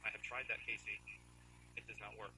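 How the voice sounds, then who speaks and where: American guy questioning someone on a tinny phone call, flat hard-edged voice, talks fast, keeps pushing. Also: lowish voice, creaky. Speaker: brother questioning an inmate by phone. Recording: tinny phone line with hum.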